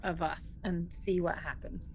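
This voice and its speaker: A woman's voice: lowish voice, fairly even intonation, a little slow, faint music behind, rough recording. A woman playing a tabletop role-playing game, chatting casually and thinking aloud in a hesitant way. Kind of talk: casual, improvised game chat.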